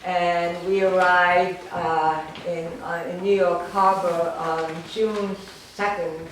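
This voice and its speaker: An older woman, a German native speaker from Romania, giving an informal talk about her life, halting and rambling with lots of fillers and wry self-deprecating humor. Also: fairly low pitch, flat delivery. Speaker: artist giving a gallery talk. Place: art gallery room. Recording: echoey gallery, distant, noisy ambience.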